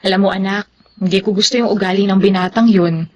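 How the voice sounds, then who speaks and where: A woman's voice: medium pitch, fairly even intonation, fast talker, close to an everyday voice. An actress playing a tired, protective middle-aged widowed mother in a Filipino radio drama, earnest and motherly. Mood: disapproving.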